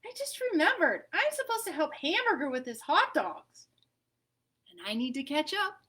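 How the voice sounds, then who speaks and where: A woman voicing a hurried french fries kid in a storybook, making a quick excuse. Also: high voice, sing-song, bright, forceful tone, voice pushed up, full character voice.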